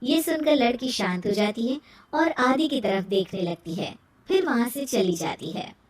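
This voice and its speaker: A woman's voice: fairly high pitch, expressive, normal speed. A woman reading scripted narration as the storyteller of a moral tale. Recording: roomy echo, distant from mic.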